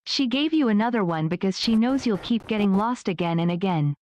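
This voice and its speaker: A text-to-speech woman's voice reading out viewer donation messages in a flat, even way. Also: mid-range voice, fairly quick, dull audio. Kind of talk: synthetic read-out of viewer messages. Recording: noisy stream audio.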